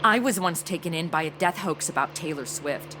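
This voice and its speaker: A woman's voice: fairly low pitch, lively intonation, a little fast, bright, forceful tone, a little hiss or hum. An actress playing a brash, clueless, celebrity-obsessed young woman, flippant and melodramatic in comedic audio drama banter. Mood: snarky, oblivious.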